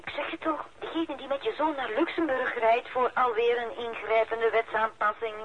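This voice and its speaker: Woman playing a flemish secretary, high voice, careful and confiding, muffled radio drama sound. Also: fairly even intonation, moderate pace, a little hiss or hum, natural voice. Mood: confidential. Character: female secretary, guarded but confiding office informant. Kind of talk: radio play acting.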